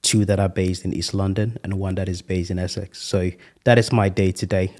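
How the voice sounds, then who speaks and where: A man's voice: low-pitched, a little mellow. A man in his thirties from Ghana, a guest on an interview podcast, talking in an earnest, reflective way with a few fillers. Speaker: guest, restaurant franchise owner.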